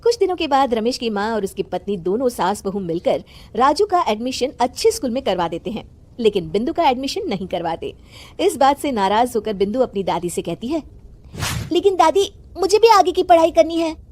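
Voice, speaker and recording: A woman's voice: a little high, big pitch swings, a little fast, a little mellow. A woman narrating a scripted moral story as a storyteller.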